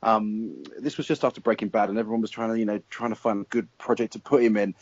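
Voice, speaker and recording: A man with a fairly low voice talks through his verdicts in a wry, measured way. The sound is dull and lo-fi, and it is dry and close, with no room around it. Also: steady tone, a little mellow, no echo. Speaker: film blogger guest. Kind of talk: casual panel discussion.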